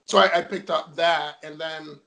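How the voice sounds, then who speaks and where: A man's voice: on the high side, fairly even intonation, normal speed, gravelly, sharp tone. A young man from Jamaica, a guest in a casual interview, telling his story chattily with many fillers.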